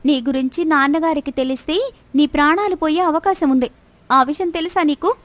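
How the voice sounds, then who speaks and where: A bold young princess in disguise as a woman storyteller plays her. Her voice is high and she questions people in a probing, teasing way. The sound is close and dry, like an audio storybook. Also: moderate pace, no echo, natural voice. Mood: teasing, testing.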